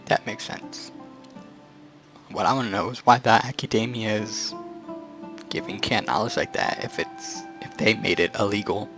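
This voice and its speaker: A man's voice: on the low side, moderate pace. A man playing a video game, reacting casually. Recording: game music behind.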